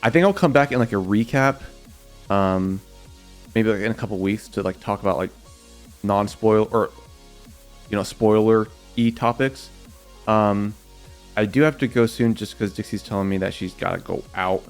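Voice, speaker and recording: A young man with a slightly raspy voice, casually answering viewer questions on a livestream in a rambling, filler-heavy way. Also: fairly low pitch, moderate pace, long pauses, faint music behind. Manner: rambling, loose, many fillers and repeats.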